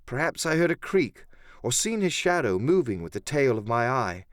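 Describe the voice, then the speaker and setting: A man's voice: mid-range voice, sing-song, normal speed, no echo, studio quality. A man giving a dramatic first-person narration of an adventure story as an audiobook narrator.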